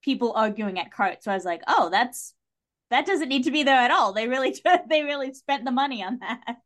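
A woman speaking as a podcast guest in casual discussion, telling stories in an animated way with lots of "like" and acted-out reactions. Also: sits high, a little fast.

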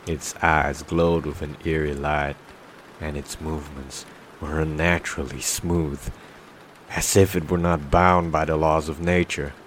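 An actor playing a grave, folksy tavern keeper speaking on a radio show in an audio drama. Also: male voice, very deep voice, level tone, measured pace, a bit bright, slight background noise. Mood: uneasy, grave. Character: male tavern keeper calling in an eerie creature sighting.

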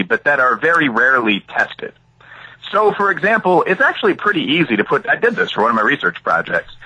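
A middle-aged American man speaks as an interview guest with a very bright, forceful edge and a husky grain. His pitch moves in a lively way as he lays out opinionated, analytical answers with asides and examples. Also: poor audio. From Chicago area, United States. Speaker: interview guest, academic and author.

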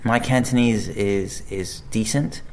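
A man's voice: fairly low pitch, slow pace, vocal fry, darkish tone, slight background noise. A man, an actor, chatting casually in an interview, rambling with many restarts and fillers. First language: English. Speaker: actor guest.

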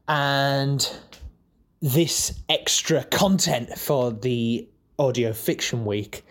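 Rambling man in casual podcast chat. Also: medium pitch. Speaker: podcast director, editor and voice actor. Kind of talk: casual behind-the-scenes podcast talk.

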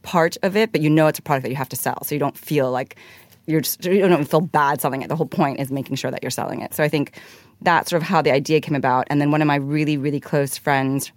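A woman's voice: low voice, fairly even intonation, quick pace, dry recording. A woman from Hong Kong, a guest in a studio interview, talking in a chatty, rambling way with many "like"s and restarts. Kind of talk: casual interview answer. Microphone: studio mic.

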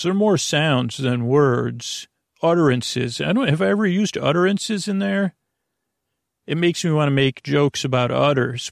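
Creaky-voiced man rambling softly at bedtime. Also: mid-range voice, no room sound, studio quality. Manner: hesitant, digressive, trailing off into tangents; lulling, soothing. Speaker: host of a bedtime sleep podcast. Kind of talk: rambling, meandering bedtime monologue.

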